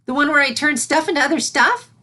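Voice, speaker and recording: A woman's voice: high voice, lively intonation, fast, bright, forceful tone, voice pushed up. A woman voicing a young unicorn filly, an eager but easily worried pupil, in a storybook reading. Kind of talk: storybook character voice in fan fiction reading.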